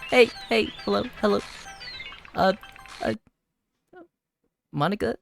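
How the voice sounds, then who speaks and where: A woman doing let's play commentary on a game, excitable and quick to exclaim. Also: mid-range voice, gravelly, faint music behind. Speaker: gaming YouTuber.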